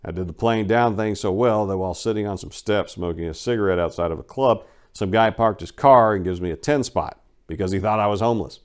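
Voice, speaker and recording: A man with a fairly low voice performs a dramatic reading of his own writing. He tells it in the first person like an audiobook, in colloquial language with a cocky, casual edge. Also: brisk, few pauses, clear, resonant tone.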